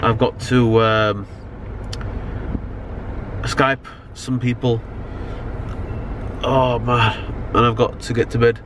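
A young man with a British accent talks off the cuff to his camera inside a car. His voice sits at a medium pitch and he rambles in loose slang, doubling back on his sentences. Clear car and street noise runs behind him. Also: flat delivery, takes it slowly, long pauses. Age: young adult. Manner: rambling, slangy, frequent "you know what I mean". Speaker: vlogger. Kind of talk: casual chat to camera. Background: car and outdoor urban noise.

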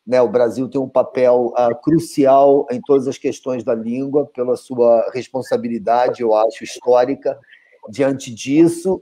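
Mellow Brazilian-accented man. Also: medium pitch, low-quality recording. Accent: Brazilian Portuguese. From Mozambique. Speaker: film director, panel guest. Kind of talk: casual live panel talk. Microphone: video call.

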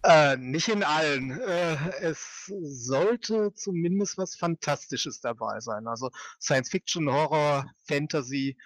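A man answering casually as a podcast guest, halting and hesitant as he talks. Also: a little high, animated, no echo. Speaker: podcast guest, audio drama author. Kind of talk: casual interview talk.

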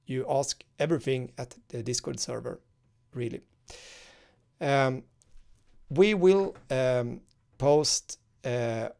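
A Swedish-accented man in his thirties walks students through a course setup from a webcam recording. He talks at an unhurried pace with a gravelly edge, full of fillers and self-corrections. The mic sits close to his face in an indoor room. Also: mid-range voice, a little mellow. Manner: informal, halting, many fillers and restarts. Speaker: university course teacher. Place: indoor room, screen-share webcam inset.

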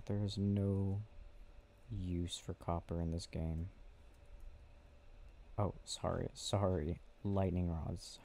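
A young adult man doing a soft, casual ASMR boyfriend roleplay, murmuring intimately and unhurriedly to a listener close by. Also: deep voice, gravelly, slight background noise. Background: clicking and game ambience.

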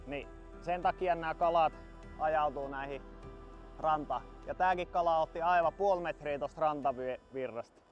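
A Finnish man in his thirties gives casual commentary as he fishes a river rapid at dusk. His voice sits a little high, moves from excited calls to calm explaining, and is caught by a camera from a distance, with faint music underneath. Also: dull audio. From Finland. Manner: excited shouts while landing a fish, then calm explaining. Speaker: fly angler.

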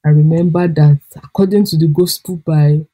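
A young adult Nigerian woman co-hosting a podcast, reviewing a book in an expressive, chatty way with Nigerian accent. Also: low-pitched, moderate pace, a little hiss or hum, poor audio. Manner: chatty and expressive, laughs often. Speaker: podcast co-host. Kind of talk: casual book review chat.

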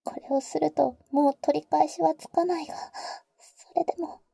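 A woman playing a devoted, tsundere female vampire in a whispered Japanese ASMR roleplay, speaking haltingly and bluntly, very close to the microphone. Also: sits high, steady tone, dark tone, dry recording. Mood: shaken, urgent. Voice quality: breathy, whispered. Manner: halting, murmured, blunt boyish phrasing. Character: protective, tsundere older-sister female vampire, tenderly devoted. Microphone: very close, dry ASMR microphone.

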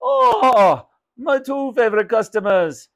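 A man voicing an effusive, excitable male shopkeeper in a children's storybook. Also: very high voice, slow, gravelly, voice pushed up, exaggerated voice. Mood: excited, flattering. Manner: effusive, exclaiming.

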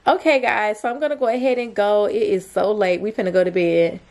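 A young woman chatting casually to camera and to her child as a vlogger, in informal, slangy, drawn-out phrases. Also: medium pitch, fairly even intonation, a little mellow. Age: young adult. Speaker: vlogger, mother. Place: small plain room indoors.

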